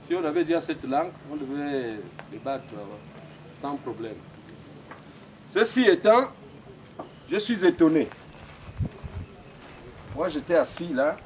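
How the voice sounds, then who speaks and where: Older gabonese guy, elder speech outside, halting french, fillers everywhere, bit of background noise. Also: a little high, expressive, a little mellow, rough recording. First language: Ipounou. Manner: halting, searching for French words, many fillers. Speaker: family elder speaking at a customary wedding. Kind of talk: formal ceremonial speech.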